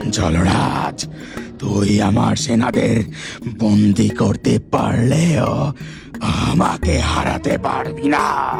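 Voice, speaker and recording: A man voicing a menacing demon villain in a cartoon, gravelly and slow, taunting and domineering. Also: medium pitch, music in the background, exaggerated voice. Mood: gloating threat. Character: menacing demon villain seizing a water kingdom.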